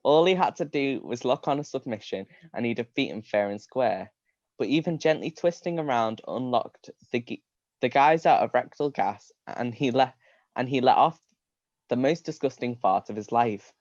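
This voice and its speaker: A man with a mid-pitched voice reads a comic story aloud to a live stream. He sounds amused, tends to laugh through his lines and often stumbles and restarts. The sound is dry and slightly dull. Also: lively intonation, normal speed, darkish tone, no room sound. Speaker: reader of comic fan fiction.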